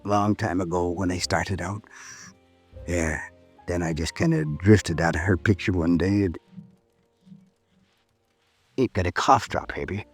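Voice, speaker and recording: An actor playing a dying small-time crook in a 1950s radio drama, resigned, speaking in weak, halting, slangy phrases. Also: male voice, low voice, steady tone, husky. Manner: weak, halting, slangy tough-guy talk. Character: dying small-time con man in prison infirmary, resigned. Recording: old radio recording with music.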